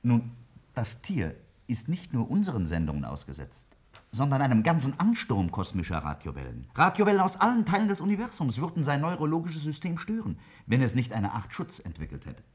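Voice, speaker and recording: A man's voice: mid-range voice, huge pitch swings, a little fast, darkish tone, slightly rough. A man playing a brilliant, self-assured scientist in a 1950s German radio drama, lecturing emphatically and brusquely. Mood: emphatic, certain. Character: brilliant, self-assured male scientist explaining a daring theory. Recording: vintage 1950s radio play recording.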